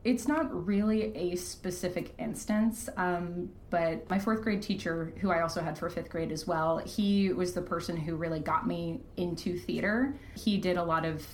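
A woman chatting casually as a podcast guest, candid and self-deprecating, quick to laugh. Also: lowish voice. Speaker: podcast guest, fiction podcast creator and writer. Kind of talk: casual interview chat. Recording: roomy, echoey sound.

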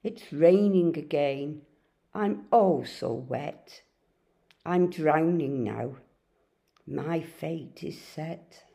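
A woman giving a dramatic, deliberate and expressive poetry reading. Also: low-pitched, vocal fry, slightly rough. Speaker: poet and reader.

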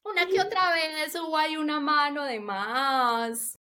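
A Colombian woman speaking candidly as an interview guest, colloquial and expressive, repeating words for emphasis. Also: high-pitched, unhurried. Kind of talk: casual, candid chat.

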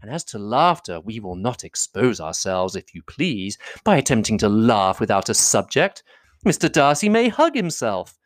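A man's voice: lowish voice, very animated, moderate pace, dry recording. Haughty young society woman, read by a man; affected, swooping, dry close sound. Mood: protesting.

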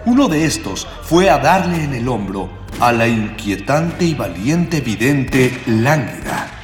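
A man's voice: medium pitch, very animated, slow pace. A man narrating a scripted radio drama in a florid, mock-epic storytelling manner. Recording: echoey long reverb with loud music.

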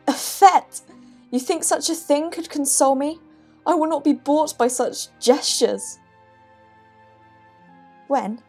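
A woman's voice: high-pitched, takes it slowly, pauses often, darkish tone, faint music behind. An actress playing a proud, wounded French queen in a period audio drama, with a formal, regal manner. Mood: scornful.

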